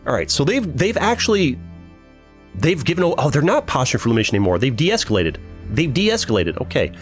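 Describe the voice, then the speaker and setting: A young man with a deep voice casually commenting on his strategy game live, thinking aloud and answering chat. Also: big pitch swings, fast talker, faint music behind. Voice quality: deeper voice than usual. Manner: chatty, thinks aloud, reads chat and laughs often. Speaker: streamer.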